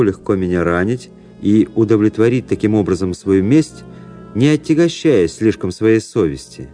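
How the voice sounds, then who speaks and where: A low male voice over faint music, an actor as a proud, fatalistic young officer. He narrates in measured, composed literary phrases, as in a radio play. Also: dark tone, lightly acted. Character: cool, proud, fatalistic young officer recounting his duel.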